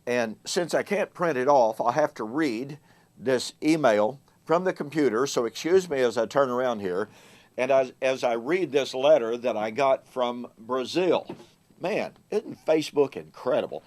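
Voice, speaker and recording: A man hosting a Bible teaching show. He speaks in a measured way, slowing down and growing louder to stress key words. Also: mid-range voice, lively intonation. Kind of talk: solo Bible teaching talk to camera.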